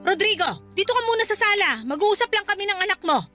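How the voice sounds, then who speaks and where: A woman's voice: very high-pitched, sing-song, fast talker, sharp tone, soft background music, full character voice. A woman acting a worried, long-suffering Filipino mother in a radio drama, pleading and scolding as she tries to keep peace. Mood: alarmed, pleading.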